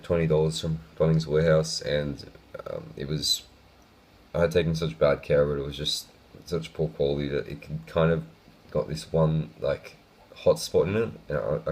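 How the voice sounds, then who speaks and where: A young man with a croaky, sick voice, talking casually through a hobby build video with lots of ums. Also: a bass voice. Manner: casual, rambling with many ums.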